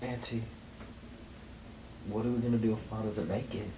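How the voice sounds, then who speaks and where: A man's voice: fairly low pitch, fairly even intonation, moderate pace, natural voice. A man playing a restless, evasive but loyal teenage brother in a radio play. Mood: subdued. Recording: roomy echo, faint background hiss.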